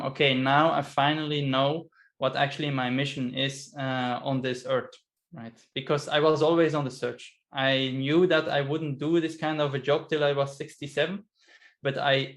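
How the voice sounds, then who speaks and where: A man, a business coach speaking as a guest on a video call from home, thoughtful and often pausing with fillers and restarts. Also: medium pitch, fairly bright tone. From Belgium. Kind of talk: casual interview chat. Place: home in Vienna.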